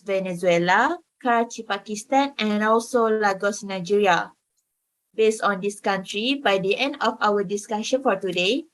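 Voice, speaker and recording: A woman's voice: mid-range voice, fairly bright tone, poor audio. A woman in her twenties with a Malaysian accent, formally leading a student group discussion. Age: 20s. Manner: formal, structured moderating. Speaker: discussion moderator.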